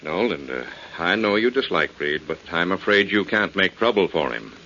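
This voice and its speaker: An actor playing a reasoning, skeptical family doctor in a 1940s radio drama, with measured, firm delivery. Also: male voice, low-pitched, big pitch swings, bright, forceful tone, exaggerated voice. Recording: dull, noisy 1940s radio recording.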